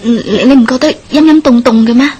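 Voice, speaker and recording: Nervy, nagging village woman for Hong Kong Cantonese radio horror, female actor: highish voice, impatient, light ambient noise. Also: a little fast, mellow, close to an everyday voice. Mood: uneasy, fretful. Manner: fretful, nagging.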